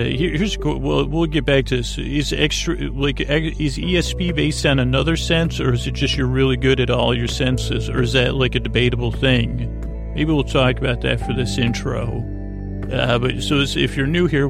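A man with a creaky voice hosts a sleep podcast, rambling soothingly and a little goofily, stammering and wandering off into tangents. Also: mid-range voice. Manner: meandering, halting, stammering, trailing off into tangents; soothing, lulling, goofy. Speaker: podcast host. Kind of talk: rambling bedtime monologue to help listeners sleep.

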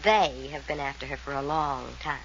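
A woman's voice: a bass voice, normal speed, a bit bright. A woman playing a cool, dismissive young woman in a 1940s radio mystery. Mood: dry, pitying. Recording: dull old radio recording, faint noise.

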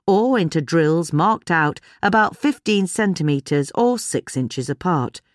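British woman narrator, low lively voice. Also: no echo, studio quality. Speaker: narrator reading a gardening article. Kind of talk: scripted narration.

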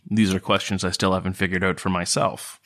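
A man voicing a reserved, cerebral serpent-folk outcast in a tabletop game, speaking in formal, measured phrases. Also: sits low, little pitch movement, a bit bright. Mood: reflective, reserved. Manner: formal, philosophical, measured wording.

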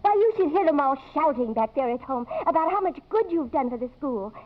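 A woman's voice: high voice, animated, a little fast. An actress in 1950s radio drama playing a fussy, chatty, effusive society woman who exclaims and gushes. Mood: gushing. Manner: gushing, exclamatory. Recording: muffled old 1950s radio broadcast.

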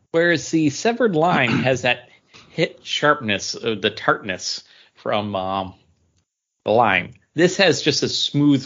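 A man's voice: medium pitch, a bit bright. A man co-hosting a podcast in a casual, conversational style. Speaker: podcast co-host. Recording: dull, lo-fi podcast audio.

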